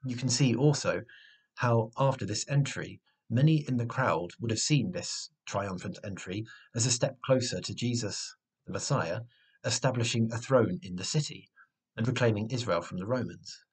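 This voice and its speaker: Husky young man preaching. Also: fairly low pitch. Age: 20s. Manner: measured, earnest, reflective. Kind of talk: sermon. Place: small room at home, indoors. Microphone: webcam or device mic at arm's length.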